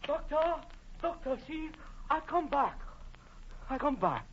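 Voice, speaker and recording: An actor playing a timid, pleading servant who talks in broken, clipped English in an old-time radio drama. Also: male voice, very high-pitched, very animated, slow pace, heavily acted. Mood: eager apology. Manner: broken pidgin phrasing, third person about self. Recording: muffled old radio broadcast, hissy.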